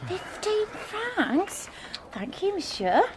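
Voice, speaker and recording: A polite, unsure post office clerk. The voice is extremely high and slow, with clear background noise, in radio drama style. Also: female voice, very animated, heavily acted. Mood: hesitant.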